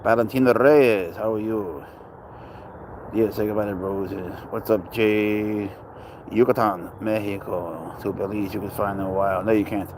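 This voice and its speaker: A man's voice: lowish voice, fairly even intonation, a little slow, noisy background. A man who grew up in Spain, hosting a casual livestream, rambling as he reads out and answers viewers' comments. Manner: chatty, rambling, answers chat questions aloud. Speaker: livestream host.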